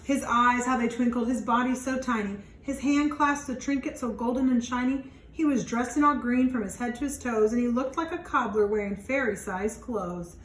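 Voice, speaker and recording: A woman with a fairly high voice reads a picture book to children, adding simple explanations as she goes. She sounds slightly away from the mic in a roomy, echoey space. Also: normal speed, few pauses. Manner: simple explanatory asides to young listeners. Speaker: teacher reading aloud to children. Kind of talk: children's storybook read-aloud with explanations. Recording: roomy, distant mic, faint background noise.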